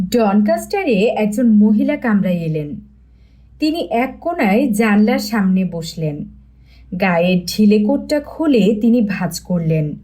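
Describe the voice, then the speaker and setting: A woman's voice: on the high side, warm tone. A woman reading a mystery story as scripted audiobook narration.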